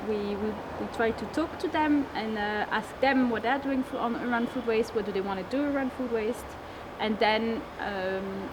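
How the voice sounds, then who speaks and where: A woman in her twenties from Lebanon and France, founder of a food waste network, answering interview questions casually with many fillers and restarts. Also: fairly high pitch. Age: 20s. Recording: noisy background.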